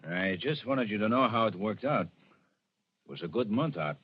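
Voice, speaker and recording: An actor playing a firm, businesslike American gang boss in 1940s radio style, blunt and authoritative. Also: male voice, fairly low pitch, expressive, moderate pace, lightly acted. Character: firm, businesslike male gang boss, stern but placating. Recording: dull, noisy old radio recording.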